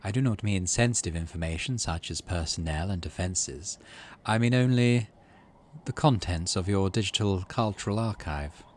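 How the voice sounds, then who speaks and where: A man voicing a polite, mirthful male alien from a game, speaking in formal, measured phrases. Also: low-pitched. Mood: placating, earnest.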